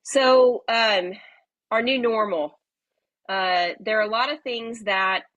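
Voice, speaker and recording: A woman hosting a live webinar, talking in a friendly, chatty way with many fillers, slightly raspy from a cold. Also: a little high, slow, fairly bright tone, no room sound. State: hoarse from a cold. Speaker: webinar host.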